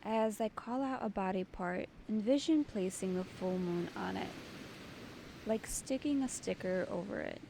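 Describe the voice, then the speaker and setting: A woman leading a guided meditation as its host, giving soothing, deliberate instructions in short phrases. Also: medium pitch, vocal fry, dark tone, slight background noise.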